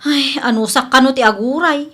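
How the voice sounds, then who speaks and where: A woman's voice: sits high, normal speed, close to an everyday voice. A woman playing an aggrieved young worker and younger sister in a radio comedy drama, with a complaining manner.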